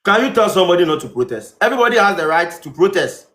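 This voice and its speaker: A man moderates an online live chat, brisk and insistent as he manages speaking turns. His voice sits high and he talks at a rapid clip. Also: sing-song, a bit bright. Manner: brisk, insistent, keeping order. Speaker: host.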